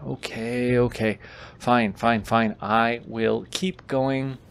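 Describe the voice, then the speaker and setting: A man's voice: medium pitch, fairly even intonation, slow pace, creaky, clean tone. A man in a boyfriend audio roleplay, reading a bedtime story aloud with goofy, teasing casual asides.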